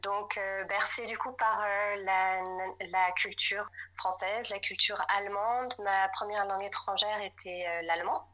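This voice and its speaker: A woman's voice: medium pitch, steady tone, measured pace, bright, forceful tone. A French woman in her thirties, a podcast guest telling her story with lots of hesitant fillers. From France. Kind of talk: casual interview chat.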